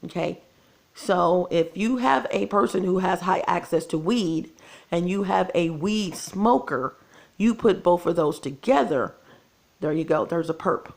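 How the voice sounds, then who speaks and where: Lady vlogging, kinda hoarse and croaky, keeps saying stuff twice and talks right to "you guys". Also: lowish voice, measured pace. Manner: earnest, repetitive, addresses viewers directly. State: losing her voice. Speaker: channel host. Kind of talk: casual vlog monologue.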